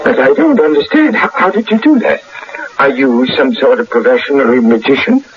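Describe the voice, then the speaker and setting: A man's voice: medium pitch, expressive, normal speed, faint music behind, natural voice. An actor playing a courteous, bookish minister in a radio drama, politely hesitant in his speech. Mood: amazed, curious. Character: genteel, courteous minister, mildly bewildered and impressed. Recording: muffled, noisy old radio broadcast.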